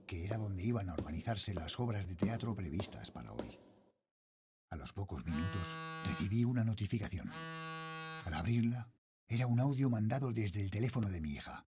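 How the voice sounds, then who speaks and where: A man with an accent from Spain playing a worried middle-aged father, a night guard, narrating a horror audio drama in measured, brooding literary style. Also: fairly low pitch, darkish tone, slight background noise. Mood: worried, puzzled. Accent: Spanish, from Spain.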